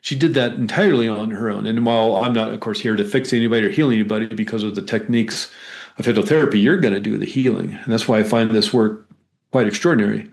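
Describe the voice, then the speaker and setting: A man with a fairly low voice speaks as a guest in a conversational interview. He explains and tells stories in a rambling manner, often halting and repeating words as he goes. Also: creaky, a little mellow. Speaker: guest, hypnotherapist.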